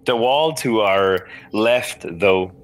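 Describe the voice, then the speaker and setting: A man talking casually as a player in a story game, thinking aloud in a halting, hesitant way. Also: on the low side. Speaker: podcast guest playing a text adventure.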